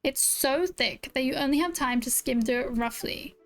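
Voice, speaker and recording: A woman's voice: sits high, moderate pace, soft background music. A woman streamer giving bubbly, chatty commentary over a game playthrough and reading its text aloud. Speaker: gaming streamer.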